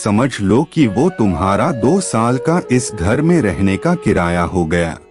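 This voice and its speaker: A man's voice: on the low side, very animated, measured pace. A man voicing a domineering, taunting older mother-in-law in a storybook family drama, with music behind. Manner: taunting, haughty.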